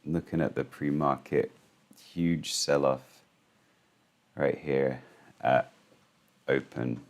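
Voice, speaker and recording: Hoarse man with a very deep voice. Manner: halting, with frequent ums and restarts. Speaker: trading channel host. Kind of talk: casual market commentary.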